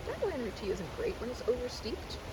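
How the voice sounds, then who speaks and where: Chatty American woman with very lively intonation. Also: on the high side, fairly quick, background noise. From United States. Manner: chatty, rambling, self-correcting, playfully self-deprecating. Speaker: ASMR roleplay creator playing a chatty best friend. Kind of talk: casual rambling ASMR roleplay chat. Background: riverside ambience, running water, boats.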